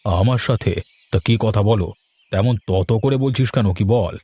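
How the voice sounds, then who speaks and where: A man playing a worried, put-upon village gentleman in a cartoon story, speaking with resigned authority. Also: on the low side, measured pace, husky, dry recording.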